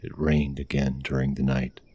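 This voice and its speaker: A man narrating a scripted ASMR fantasy game as game master, hushed and deliberate, with dramatic pauses. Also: very deep voice, monotone, breathy edge.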